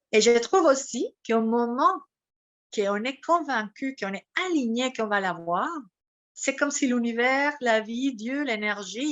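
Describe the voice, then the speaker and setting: Bright-voiced woman. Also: fairly high pitch. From Mexico. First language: Spanish. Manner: animated storytelling with many restarts. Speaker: guest. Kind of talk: casual interview, personal story.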